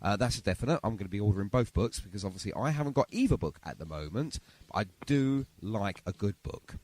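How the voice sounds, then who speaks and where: A man's voice: fairly low pitch, animated, fast, darkish tone. A British man hosting a podcast in a chatty, informal interview style. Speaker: podcast host. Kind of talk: casual interview chat.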